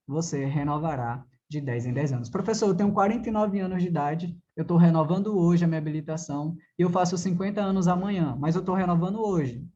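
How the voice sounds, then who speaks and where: A man's voice: fairly high pitch, mellow, dull audio. A Brazilian man giving a conversational classroom lecture as a driving theory teacher, didactic, posing questions and answering them himself with frequent check-in tags.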